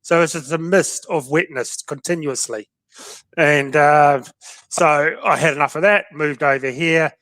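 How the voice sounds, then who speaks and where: A man's voice: a little high, measured pace, fairly bright tone, no room sound. A man, a guest chatting casually and jokily on a live stream. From New Zealand.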